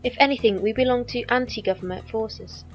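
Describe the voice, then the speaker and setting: An actress playing a loyal, composed squire in an audio drama, speaking formally and precisely. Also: female voice, fairly high pitch, a little fast, lo-fi sound, soft background music, lightly acted. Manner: formal, precise, stilted wording.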